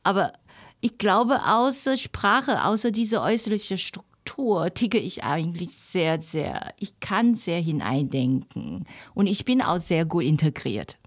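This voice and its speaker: Middle-aged woman with Chinese accent, lowish voice. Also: lively intonation, a little slow, dry recording, clean studio sound. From Taiwan. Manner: non-native grammar, hesitant searching phrasing. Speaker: interviewee, language professor. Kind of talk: reflective interview answers in conversational speech.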